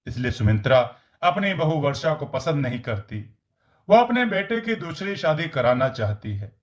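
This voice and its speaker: A man reading scripted story narration as the narrator. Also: a little high.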